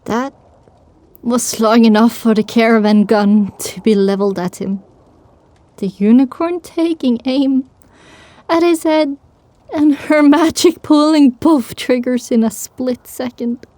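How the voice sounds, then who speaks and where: A woman playing a determined young female pony who tells her story with grief, in an emotional, halting, drawn-out delivery for an audio drama. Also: a little high, warm tone, no room sound, close to an everyday voice. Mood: grief-stricken. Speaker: narrator. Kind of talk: first-person dramatic audiobook narration.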